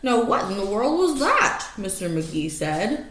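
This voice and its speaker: Animated woman reading a children's storybook, roomy echo. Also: mid-range voice, measured pace. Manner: animated storyteller, stretching key words, asking prediction questions. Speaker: storybook reader for young children. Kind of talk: expressive read-aloud of a rhyming picture book with asides to children. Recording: roomy echo, faint background noise.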